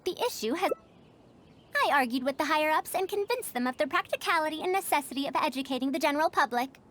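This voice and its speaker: A woman's voice: sits high, lively intonation, quick pace, fairly bright tone, slight background noise. A woman voicing a confident, headstrong female scholar in a video game, with formal, old-fashioned phrasing. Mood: satisfied. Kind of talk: voiced game character dialogue.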